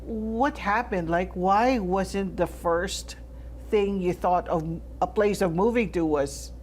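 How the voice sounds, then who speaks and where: A mid-pitched woman from the Philippines hosts a casual interview. She reacts often and asks chatty questions. Faint background noise sits under her voice. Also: takes it slowly. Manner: warm reactions, frequent wows. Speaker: show host.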